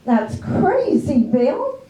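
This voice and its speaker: An echoey, distant live stage recording of a woman acting a bossy small-town American farm wife. She speaks slowly in a highish voice, folksy and no-nonsense, like a mother running the household. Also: sing-song, warm tone, full character voice. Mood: insistent. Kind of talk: readers theater stage acting. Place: live play in a library. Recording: echoey room, distant microphone.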